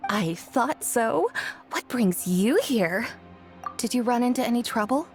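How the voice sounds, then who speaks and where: Lively, higher-voiced friendly shopkeeper. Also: female voice, unhurried, music in the background. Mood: friendly, surprised. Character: friendly young woman shopkeeper and clothing designer. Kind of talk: video game voice acting.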